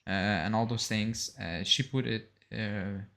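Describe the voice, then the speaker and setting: A man's voice: lowish voice, measured pace, vocal fry, a bit bright. A young man casually chatting as co-host of a football fan live show, reading out and reacting to viewer comments.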